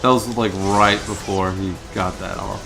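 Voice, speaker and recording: A man with a gravelly, creaky voice talks over prominent video game music. He gives casual commentary as a co-host and riffs along in an easygoing, joking way. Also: deep voice, fairly even intonation, normal speed, rough recording. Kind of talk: casual gameplay commentary banter. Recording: loud game music behind the voice.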